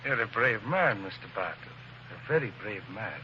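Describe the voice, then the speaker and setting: A man playing a sly, suave foreign count who speaks slowly and insinuatingly, in a 1940s radio drama. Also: on the low side, expressive, close to an everyday voice. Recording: dull, noisy old radio recording.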